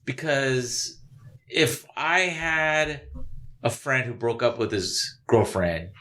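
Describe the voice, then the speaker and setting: A man's voice: medium pitch, takes it slowly, a bit bright. A man co-hosting a casual book discussion, conversational and ready with his own opinions. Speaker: podcast host.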